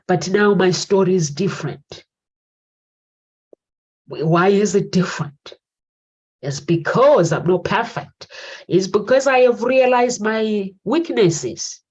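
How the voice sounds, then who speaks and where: A woman in her forties speaks in a low, unhurried voice, sharing an earnest and reflective motivational talk with her viewers. She repeats her key phrases as she works through each thought. The recording sounds dull and lo-fi. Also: a little mellow, breathy edge. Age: 40s. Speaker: talk show host.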